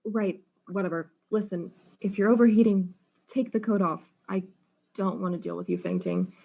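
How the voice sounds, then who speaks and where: A woman's voice: mid-range voice, moderate pace, vocal fry, warm tone. A woman as a curt, no-nonsense detective. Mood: dry, exasperated. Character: blunt, tough, exasperated detective questioning suspects. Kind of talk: comic audio mystery drama acting.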